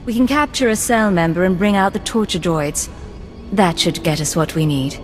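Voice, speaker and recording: A cool, sardonic secret agent, voiced by a woman, answers in a slightly low, dry, cutting voice. Soft game music plays underneath. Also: moderate pace, lightly acted. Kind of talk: video game cutscene acting.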